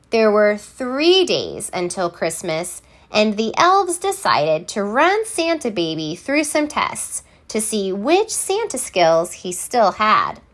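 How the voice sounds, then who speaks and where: A woman reading a children's story aloud in an expressive, theatrical way, landing deliberately on key words. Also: mid-range voice. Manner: theatrical, deliberate, emphatic word by word. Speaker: storybook reader.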